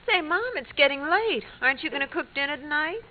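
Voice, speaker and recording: Teasing young daughter, wry, expressive pitch swings, old radio sitcom, tinny sound. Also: female voice, fast. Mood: dismayed. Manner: wry, melodramatic. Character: teasing, dramatic teenage daughter. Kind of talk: 1950s radio sitcom acting. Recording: tinny vintage radio broadcast recording.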